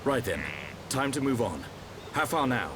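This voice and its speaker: A man's voice: mid-range voice, big pitch swings, a little slow, noisy background. A man playing a hearty, plain-spoken young companion in a video game cutscene. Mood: businesslike.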